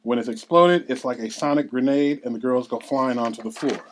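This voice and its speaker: A man's voice: medium pitch, brisk, low-quality recording. A man narrating a script at a table read, reading out scene headings and action. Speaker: narrator reading stage directions.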